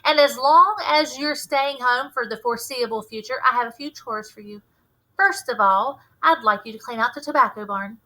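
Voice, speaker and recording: A woman's voice: fairly high pitch, normal speed, pushed and bright, rough recording. A woman reading a storybook as a stern, worried Southern mother laying down the law.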